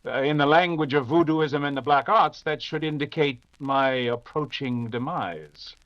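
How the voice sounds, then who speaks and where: An actor playing a confident, rational gentleman detective in an old-time radio drama. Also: fairly high pitch, a little slow, no echo, muffled recording. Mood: intrigued, composed.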